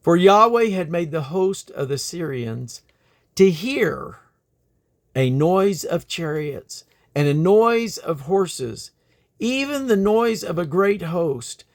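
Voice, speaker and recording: A man reading the Bible aloud in a deliberate, expressive way. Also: sits high. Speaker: scripture reader.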